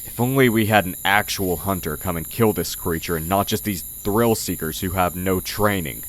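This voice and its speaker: A man playing a sly oni who poses as a humble village blacksmith in an audio roleplay, talking in a measured, condescending way. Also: low voice, lightly acted, rough recording. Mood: scornful. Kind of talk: audio roleplay, intimate character acting. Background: night forest ambience with crickets.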